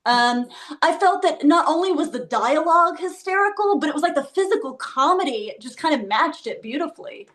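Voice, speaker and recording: A woman's voice: high-pitched, animated, fairly quick, a bit bright. A woman giving an effusive, casual opinion in a group discussion. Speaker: actor in a script discussion.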